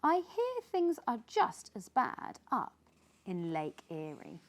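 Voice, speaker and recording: Woman voicing an indignant storybook forest creature, swooping pitch. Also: wildly swooping pitch, takes it slowly, full character voice. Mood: indignant scolding. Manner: emphatic, exclamatory, rhythmic rhyming delivery. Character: indignant, weary, scolding forest guardian creature. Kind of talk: children's storybook read-aloud.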